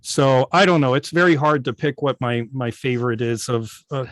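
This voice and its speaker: A man speaking as a guest on a casual video call, telling halting anecdotes like a keen hobbyist. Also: medium pitch, fairly bright tone, no echo. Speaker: guest, old-time radio station operator. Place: indoors at home on a video call.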